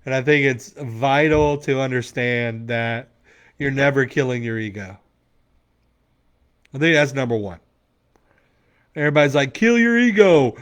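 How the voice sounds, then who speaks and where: A man's voice: medium pitch, measured pace, long pauses, clean tone. A man in his forties giving a blunt, emphatic motivational talk as a men's coach, swearing freely to a room. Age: 40s.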